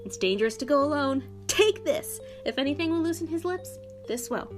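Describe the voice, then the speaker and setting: A young female shop clerk in a radio play, performed by a woman, chatty and outspoken. Her voice sits a little high. She talks in slangy, folksy confidences with faint music underneath. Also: animated, fairly quick. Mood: friendly, confiding.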